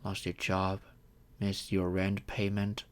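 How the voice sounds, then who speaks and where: A man plays a wealthy vampire with courtly manners in an intimate ASMR roleplay. He speaks very slowly in a fairly low voice, gentle and doting, with measured, slightly formal phrasing. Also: monotone. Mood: solemn storytelling.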